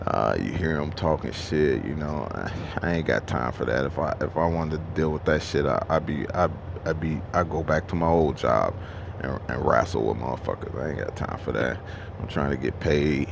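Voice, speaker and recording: A man's voice: extremely low pitch, gravelly, noisy background. A truck driver in his thirties talking casually to camera at a truck stop, rambling and profane, with many restarts. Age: 30s.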